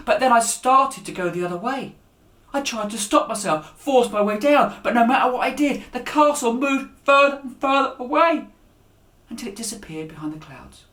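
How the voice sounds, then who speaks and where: A man reading a children's story aloud as its author, with a dramatic, expressive delivery that rises and drops to a hush. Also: very high-pitched. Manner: dramatic, with raised voices for dialogue and hushed endings. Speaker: author reading his own children's book. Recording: echoey room.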